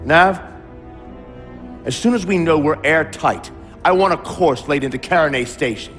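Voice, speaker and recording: A man's voice: on the high side, moderate pace, fairly bright tone, natural voice. A man acts out an older starship captain, domineering and officious, barking orders in a game drama. His voice sits in long reverb with music behind it. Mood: commanding. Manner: commanding, officious. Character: stubborn, domineering older male warship captain. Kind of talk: dramatic video game cutscene acting.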